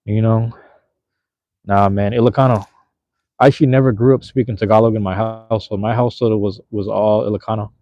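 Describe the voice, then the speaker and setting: A young man chats loosely with his viewers in easy slang, his voice low-set and mellow. The sound is close and dry, with a slightly dull, lo-fi phone quality. He talks while holding the phone himself. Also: fairly even intonation, a little fast, dry recording. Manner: laid-back, slangy, rambling. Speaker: livestream host. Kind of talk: casual live chat with viewers. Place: outdoors at night in a park, cold drizzle. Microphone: handheld phone.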